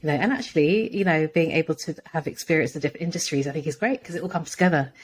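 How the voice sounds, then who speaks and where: Low-voiced woman hosting a podcast. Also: rapid-fire, a little hiss or hum. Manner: effusive, many fillers and restarts. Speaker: podcast host. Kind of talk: warm interview chat.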